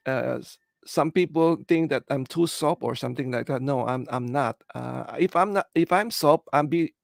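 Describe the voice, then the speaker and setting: A middle-aged man from the Philippines with a Filipino English accent, hosting a casual livestream and answering viewer comments in a halting, earnest way, full of "uh" and "you know.". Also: mid-range voice, vocal fry, no room sound, studio quality. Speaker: livestream host.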